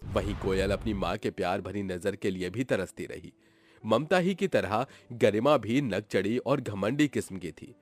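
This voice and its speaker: A man's voice: on the low side, unhurried. A man narrating a scripted story in a dramatic storytelling style. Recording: music behind the voice.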